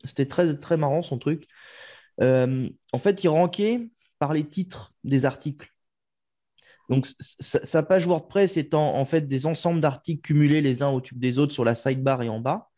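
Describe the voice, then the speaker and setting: A man hosting a podcast in a relaxed, chatty way, often trailing off with filler words. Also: on the high side, normal speed. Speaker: podcast host. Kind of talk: casual interview chat.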